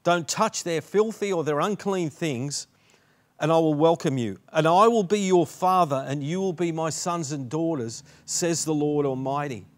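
A man in his sixties with an Australian accent, preaching a sermon earnestly with many restarts from a dark studio set. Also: fairly high pitch, normal speed. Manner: earnest, gesturing, frequent restarts and repeated words. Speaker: pastor.

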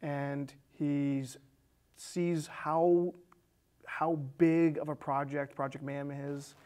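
Guy in his 30s, voice a bit on the high side, casually retelling a movie like on a film chat show. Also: flat delivery, slow pace, warm tone. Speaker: film discussion show co-host. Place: small indoor studio set with curtains.

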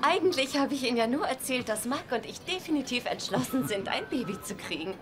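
A woman's voice: sits high, animated, a little fast, music in the background. An actress playing a cheerful, friendly young married woman in German-dubbed sitcom dialogue. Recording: TV soundtrack with crowd chatter.

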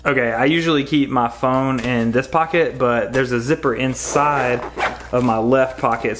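A man's voice: mid-range voice, background noise. Chatty upbeat man vlogging from his garage. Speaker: fishing vlogger. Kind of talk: casual product review vlog. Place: home garage, indoors.

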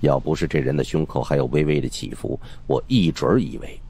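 A man narrating a suspenseful audiobook story in an expressive, dramatic first-person style. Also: extremely low pitch, a little fast, a little hiss or hum. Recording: faint music behind the voice.

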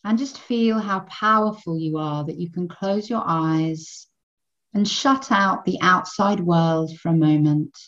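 A woman guiding a children's meditation in a gentle, soothing manner, in measured phrases with long pauses between them. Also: on the low side, muffled recording. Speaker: meditation guide.

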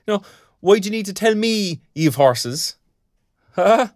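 Young Irish graduate, Cork accent, wide-swinging pitch, sardonic, quick-tempered, close dry sound, audio drama. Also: male voice, animated, a little mellow, no echo. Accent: Irish, County Cork. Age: young adult. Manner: colloquial, sardonic. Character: young Irish graduate, restless, sarcastic, hot-tempered protector. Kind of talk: naturalistic audio drama acting.

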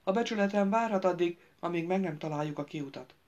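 A woman's voice: on the low side, darkish tone, muffled recording, close to an everyday voice. A woman doing a proud, hot-headed young knight. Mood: haughty. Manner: haughty and threatening.

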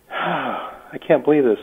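A man's voice: mid-range voice, breathy edge. A man on a phone line, questioning firmly and incredulously as a homeowner in conversation. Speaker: homeowner receiving a prank call. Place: on a phone call. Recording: tinny narrowband phone line.

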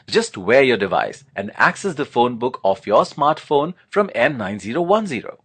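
A man's voice: medium pitch, a bit bright, breathy edge. A man narrating a scripted product demonstration, explaining things step by step in an instructional manner.

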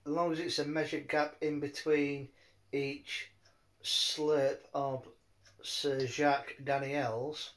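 A man chatting casually in a rambling, halting way, often repeating his words. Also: mid-range voice. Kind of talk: rambling casual phone chat.

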